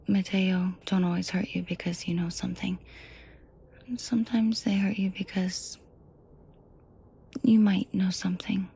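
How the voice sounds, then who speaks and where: A woman playing a protective, commanding mafia boss in an intimate ASMR roleplay, speaking softly and often whispering, unhurried, with dry teasing authority. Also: medium pitch, heavily acted. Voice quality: breathy, soft, often whispered.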